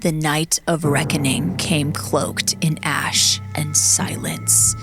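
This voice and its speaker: A woman narrating a dramatic audiobook story in a measured, solemn way. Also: low-pitched, wildly swooping pitch. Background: music.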